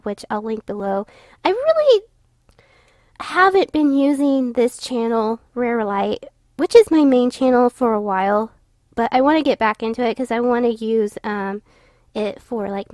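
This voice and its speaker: A woman's voice: on the high side, a little mellow, no room sound, studio quality. A young woman with a tired, stuffy voice, casually narrating her drawing with lots of fillers and restarts. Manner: rambling, many fillers and restarts. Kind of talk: casual voice-over commentary.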